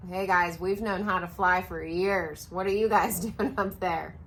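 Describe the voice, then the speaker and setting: A woman's voice: on the low side, steady tone, unhurried, a bit bright, a little hiss or hum. A woman voicing a group of casual, teasing birds watching young dragons fly. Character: cocky tree birds, mixed group.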